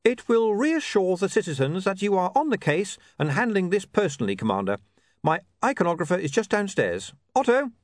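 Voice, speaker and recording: A man's voice: high-pitched, big pitch swings, fairly quick, no room sound, studio quality, voice pushed up. A man voicing a polite, stubborn young male reporter in a storybook, deferential yet persistent.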